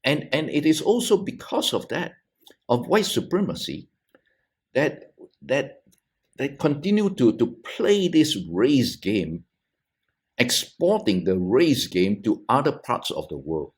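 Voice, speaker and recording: A middle-aged man from Malaysia, a theologian on a discussion podcast, talking in a halting way with frequent restarts and repeated words. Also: mid-range voice, wildly swooping pitch. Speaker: theologian panelist. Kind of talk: thoughtful discussion on a video podcast.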